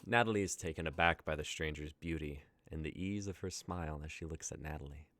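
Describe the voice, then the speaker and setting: A man's voice: a bass voice, brisk, a bit bright, dry recording, clean studio sound. A young man reading scripted story narration and stage directions as the narrator of a dramatic reading. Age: young adult.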